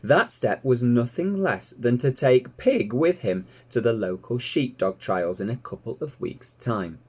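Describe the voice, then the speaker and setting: A man narrating a children's story in an expressive read-aloud style. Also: medium pitch, unhurried, husky. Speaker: storybook reader, teacher.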